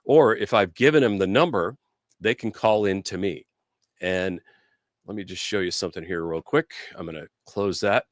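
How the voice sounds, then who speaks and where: A man's voice: sits low, normal speed, no room sound, clean studio sound. A man hosting a casual live product demo and interview, explaining things in an informal, conversational way. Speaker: host and product demonstrator.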